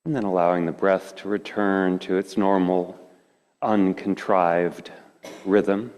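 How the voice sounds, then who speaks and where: A man leading a guided meditation as a teacher, speaking in gentle, slow phrases with long pauses. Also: deep voice, steady tone, smooth. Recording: reverberant hall.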